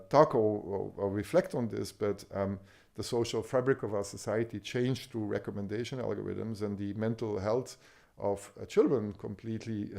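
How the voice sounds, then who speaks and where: A man's voice: fairly low pitch, gravelly, warm tone. A middle-aged man from Belgium giving a talk on medical AI, hesitant, with many ums and restarts. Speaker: speaker on medical AI. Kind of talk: conference talk.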